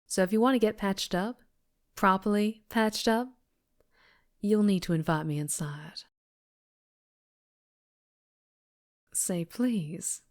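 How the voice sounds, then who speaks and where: Slow Southern vampire biker woman, acted out by a woman. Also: medium pitch, natural voice. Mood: sassy, flirty. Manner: teasing, protective, drawling. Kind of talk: intimate ASMR roleplay acting.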